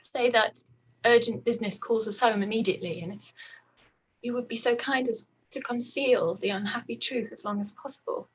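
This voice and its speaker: A woman acting a distressed, proud young gentlewoman in a period radio play, with emotional, halting delivery. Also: on the high side, a little hiss or hum, natural voice, poor audio. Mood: subdued, resigned.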